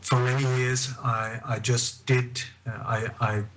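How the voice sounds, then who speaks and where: A middle-aged man with a Scandinavian accent, recounting his research as a radio interview guest in a measured, halting way with repeated phrases. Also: medium pitch, little pitch movement, a bit bright, a little hiss or hum. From born in Denmark, emigrated to Sweden at eight.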